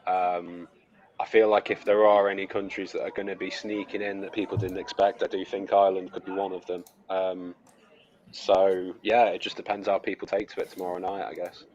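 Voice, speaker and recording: A man co-hosting, explaining things in a relaxed, hesitant way with many fillers. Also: low voice, brisk, highs cut off. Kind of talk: casual chat.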